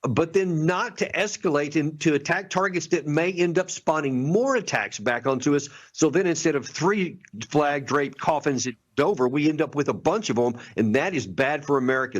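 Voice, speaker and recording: A man's voice: a little high, animated, normal speed, hardly any pauses. A man speaking as a TV news guest, arguing earnestly and insistently for caution. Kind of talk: political analysis on TV.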